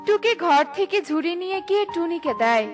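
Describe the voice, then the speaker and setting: A woman's voice: extremely high pitch, expressive, brisk, music in the background. A woman narrator reading a scripted children's story.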